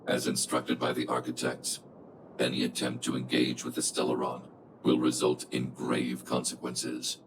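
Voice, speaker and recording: An actor playing a cold, imposing guardian robot in a video game, speaking in formal, clipped, machine-like phrases. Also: male voice, rumbling low, background noise. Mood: cold, threatening. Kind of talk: video game cutscene acting.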